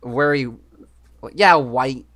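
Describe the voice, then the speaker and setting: A man's voice: mid-range voice, drawn-out words, a bit bright. A young man co-hosting a podcast, talking casually and rambling, often asking "you know what I mean". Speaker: podcast co-host. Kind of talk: casual chat.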